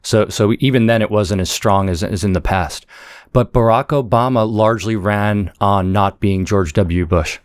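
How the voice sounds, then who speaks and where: Man, lowish voice, analytical interview talk, expert guest, dry close recording. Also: moderate pace, clean tone, no room sound. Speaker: guest author, foreign policy scholar.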